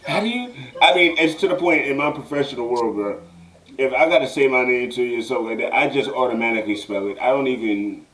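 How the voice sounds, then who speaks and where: A man's voice: medium pitch, fast, a bit bright, a little hiss or hum, poor audio. A man hosting a podcast in casual chat, laughing and joking. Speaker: podcast host.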